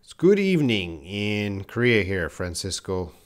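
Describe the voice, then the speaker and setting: A man's voice: on the low side, big pitch swings, a little slow. A man, an English teacher, chatting on a live-stream class and answering viewers' comments as he reads them. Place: in Korea.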